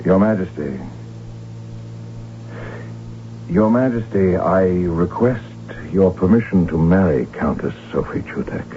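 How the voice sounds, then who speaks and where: An actor playing a proud, stubborn royal heir in a radio drama, formal, earnest and insistent. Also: male voice, deep voice, lively intonation, normal speed. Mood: deferential, earnest. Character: proud archduke heir, stubborn, intense, lovestruck man. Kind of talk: old-time radio drama acting. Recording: tinny narrow-band radio drama, hissy.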